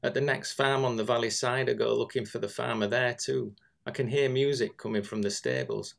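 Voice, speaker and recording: A man's voice: on the low side, creaky. A man reading scripted literary narration. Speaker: reader.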